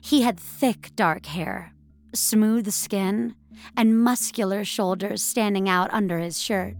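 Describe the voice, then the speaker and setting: A woman narrating scripted fiction with measured, expressive storytelling. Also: mid-range voice, faint music behind.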